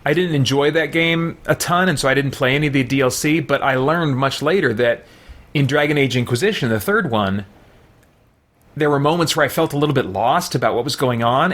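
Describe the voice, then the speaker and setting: A man's voice: on the high side, expressive, normal speed, a bit bright. Casual man thinking aloud as he games. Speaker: gamer playing and commenting on a role-playing game. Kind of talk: casual let's play commentary.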